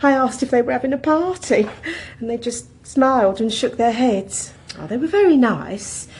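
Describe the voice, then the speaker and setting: An actress playing a chatty, helpful neighbour in a radio drama, genteel and a little rambling. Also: female voice, a little high, expressive, moderate pace, a little mellow, a little hiss or hum. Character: chatty, helpful female apartment-building neighbour. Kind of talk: naturalistic radio drama acting.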